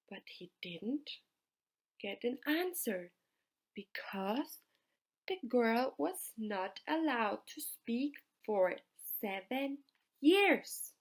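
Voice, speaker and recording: A woman telling a fairytale in a halting, deliberate way, pausing between short groups of words. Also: a little high. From Austria. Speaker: storyteller. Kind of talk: slow fairytale storytelling.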